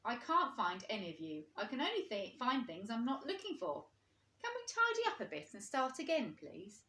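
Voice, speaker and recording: Woman voicing a kindly, animated old teddy bear. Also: a little high, moderate pace, voice pushed up, heavily acted. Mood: resigned, pleading. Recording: roomy, echoing indoor sound.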